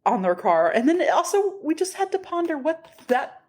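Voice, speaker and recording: A woman co-hosting a podcast in loose, casual chat. She tells her stories with lots of energy, laughs often and swears freely, and her pitch jumps high when she gets excited. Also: normal speed. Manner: animated, laughing, swearing storyteller. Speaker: podcast co-host.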